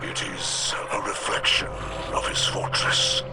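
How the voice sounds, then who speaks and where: An actor voicing a grave, proud alien warrior in a video game, with formal phrasing and a rasping growl. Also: male voice, lightly acted. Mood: solemn, scornful. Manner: formal, solemn, measured phrasing. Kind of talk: video game character dialogue. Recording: game dialogue over music.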